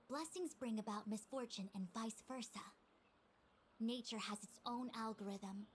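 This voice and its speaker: Woman as self-important young master diviner for a game: mid-pitched, formal, verbose, mildly exasperated, ambient noise. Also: close to an everyday voice, rough recording. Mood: grand, self-assured. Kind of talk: scripted video game voice acting.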